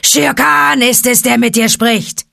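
A woman's voice: on the high side, steady tone, takes it slowly, gravelly, pushed and bright, no echo, clean studio sound, voice pushed up, exaggerated voice. A woman reading a storybook and voicing a bullying male tiger who roars his threats. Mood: scornful. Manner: roaring, bullying. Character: menacing boastful male tiger.

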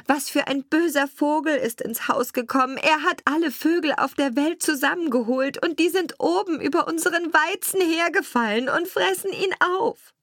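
A woman's voice: high-pitched, moderate pace, fairly bright tone, dry recording, studio quality, pitched above the performer's own voice. A woman voicing an alarmed carter's wife in a fairy tale, agitated and lamenting. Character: alarmed wagon driver's wife bearing bad news.

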